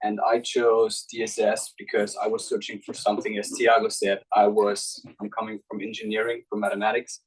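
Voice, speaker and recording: Young man, student panelist, deeper register with some rasp, spontaneous delivery. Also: level tone, fairly quick, few pauses, poor audio. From Austria. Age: young adult. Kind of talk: unscripted panel answers.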